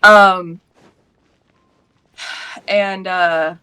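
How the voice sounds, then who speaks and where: A woman's voice: lowish voice, steady tone, extremely slow, sharp tone, smooth, dry recording. A woman in her late thirties from Georgia, talking earnestly and passionately in a casual panel discussion, laughing now and then. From Georgia, US Deep South. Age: 30s.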